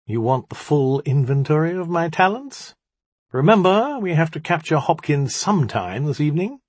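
A proud, sarcastic old spirit in the shape of a rat, played by a male storyteller. The voice is very husky, with big swings in pitch, brisk and huffy in a sardonic way. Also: very animated, dry recording. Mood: brightly bossy.

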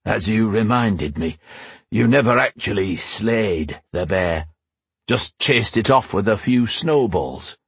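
Storybook armoured knight, voiced by a man: gruff, deep-set, very raspy, dry and honourable. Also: expressive, takes it slowly, dry recording, exaggerated voice. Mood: dry, bantering. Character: gruff armoured male knight, loyal, dry-witted lover.

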